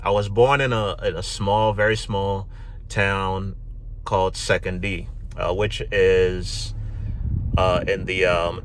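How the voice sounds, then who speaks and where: A middle-aged man from Ghana, telling his life story to camera in a relaxed, rambling way, full of fillers and restarts. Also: low-pitched, slight background noise, poor audio. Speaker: YouTube vlogger. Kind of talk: casual personal storytelling to camera.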